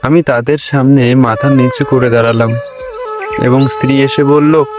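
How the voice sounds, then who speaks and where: A man's voice: medium pitch, fairly even intonation, measured pace. A man narrating a scripted folk tale for a cartoon as its storyteller. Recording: loud background music.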